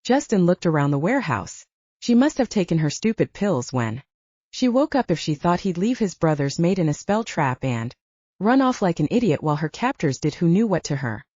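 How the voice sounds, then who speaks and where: A woman's voice: lowish voice, big pitch swings, moderate pace, darkish tone, no room sound, muffled recording. A woman reading a fiction audiobook as a scripted narration.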